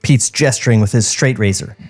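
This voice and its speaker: A man's voice: mid-range voice, a little fast, darkish tone, soft background music. A man co-hosting a podcast, reading screenplay narration aloud and mixing in casual banter. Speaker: podcast co-host and script reader. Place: podcast studio.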